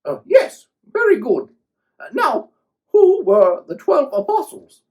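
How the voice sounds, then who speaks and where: An inquisitive female puppet co-host for a children's Bible show, acted by an adult. Her voice is very high with a mellow tone and wildly swinging intonation, slightly prim as she poses questions. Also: huge pitch swings, takes it slowly, exaggerated voice. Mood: prompting. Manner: questioning, exaggerated reactions.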